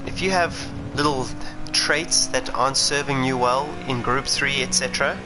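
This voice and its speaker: A man's voice: medium pitch, moderate pace, music in the background, poor audio. A South African man, a racing driver, chatting and thinking aloud while streaming a sim racing game. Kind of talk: live gameplay commentary. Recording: game engine noise underneath. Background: racing game car sounds.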